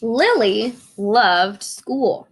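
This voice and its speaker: A woman reading a children's story aloud with expressive, emphatic phrasing. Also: on the high side, extremely slow, husky.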